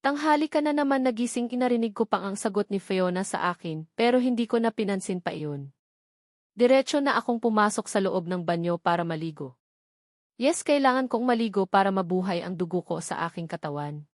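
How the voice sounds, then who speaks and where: A woman's voice: medium pitch, animated, fairly quick, no room sound, studio quality. A woman with a Filipino accent reading a first-person novel as a scripted narration. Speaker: audiobook narrator.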